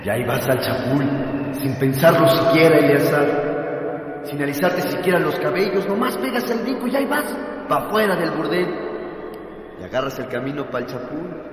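An actor playing a reproachful, insistent inner voice in a radio drama, speaking colloquially with taunting emphasis. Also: male voice, fairly high pitch, lively intonation, normal speed, natural voice. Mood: reproachful, goading. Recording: heavy echo and reverb, noisy.

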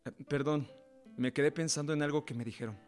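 An actor in a radio drama playing an earnest, idealistic young law apprentice with a theatrical, deliberate delivery. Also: male voice, fairly high pitch, fairly even intonation, darkish tone, close to an everyday voice. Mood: measured. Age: young adult. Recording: guitar music behind the voice. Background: guitar music.

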